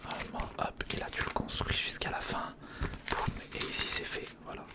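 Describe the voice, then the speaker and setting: An ASMR creator whispering casual step-by-step commentary on a hands-on build, murmuring directly to the listener. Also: normal speed.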